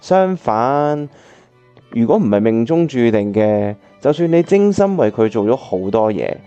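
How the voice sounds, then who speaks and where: A man's voice: mid-range voice, sing-song, measured pace, mellow, clean tone, highs cut off, soft background music. A man playing an earnest, reflective bachelor in a Cantonese radio drama, with a Hong Kong accent. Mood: heartfelt speech. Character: earnest, reflective bachelor looking back on old love.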